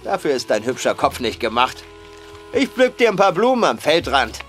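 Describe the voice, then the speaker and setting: A man's voice: fairly high pitch, very animated, moderate pace, a bit bright, faint music behind. An actor playing a jovial, condescending male farmer of the 1850s in an audio drama. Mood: breezy, patronizing. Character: breezy, patronizing male plantation farmer of the 1850s. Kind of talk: German audio drama acting.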